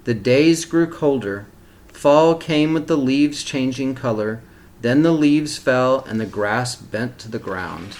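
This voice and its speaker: A man reading a picture book aloud to young children as a teacher, pausing for simple explanatory asides. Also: medium pitch, animated, darkish tone, slight background noise.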